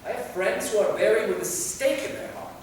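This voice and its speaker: Man acting a high-voiced, anguished vampire in an echoey hall. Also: brisk, natural voice. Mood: despairing. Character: anguished, weary, bitter immortal male vampire. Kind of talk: staged reading of a stage play. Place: theater, recorded from the audience distance. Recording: echoey hall from far off, faint noise.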